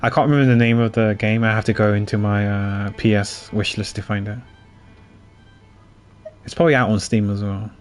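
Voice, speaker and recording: Low-voiced, rambling male streamer. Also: fairly even intonation, faint music behind. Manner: rambling, halting, thinking aloud. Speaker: game streamer. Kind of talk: casual livestream chat while playing. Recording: faint noise, keyboard typing.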